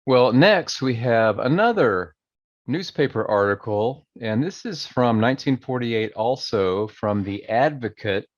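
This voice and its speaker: A man hosting a video channel, casually reading old newspaper articles aloud and commenting on them in a relaxed, conversational way with some fillers. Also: medium pitch, no echo. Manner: reads quoted passages slowly and emphatically. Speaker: channel host. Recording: dry, dull lo-fi audio.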